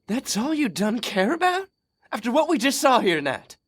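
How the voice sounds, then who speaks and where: Casting: simple rural American outlaw played by a man, animated pitch, anxious, reproachful, hesitant. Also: normal speed, husky, heavily acted. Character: dim-witted young bandit, anxious, reproachful brother.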